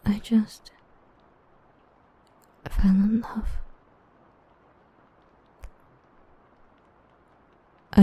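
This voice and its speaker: A woman playing an obsessive, possessive female villain in an ASMR roleplay, breathy and intimate with menacing devotion. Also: medium pitch, mellow, no echo, dull audio. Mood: tender devotion. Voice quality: breathy, whispery. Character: obsessive yandere female villain, tenderly possessive, murderously protective.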